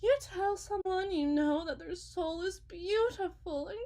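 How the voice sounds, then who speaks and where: An unsettling female faith healer, acted by a woman in an audio roleplay, with a very high voice and a slow delivery. She preaches sweetly and theatrically, pleading as a self-styled prophet who is utterly fervent. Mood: wry, hurt. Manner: theatrical, pleading, sing-song preaching with drawn-out words and sudden hushes. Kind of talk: dramatic ASMR roleplay monologue.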